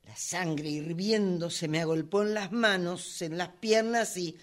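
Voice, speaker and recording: A woman's voice: lowish voice, a little slow, noisy background, natural voice. A woman playing an indignant, hot-tempered woman in a radio drama, agitated and repeating words in bursts as she makes excuses. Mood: seething. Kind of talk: radio drama workshop acting.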